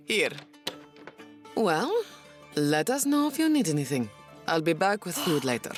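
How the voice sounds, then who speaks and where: Woman playing a sassy, low-voiced innkeeper's wife. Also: sing-song, measured pace. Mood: kindly, knowing. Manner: teasing, theatrical, knowing. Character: sassy, warm-hearted innkeeper's wife, sharp-tongued with husband. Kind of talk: audio drama acting. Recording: faint music behind.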